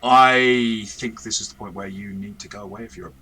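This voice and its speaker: A man's voice: lowish voice, steady tone, normal speed, sharp tone, breathy edge. A man, the game master and co-host, chatting casually and rambling in a self-correcting way as he reviews a game.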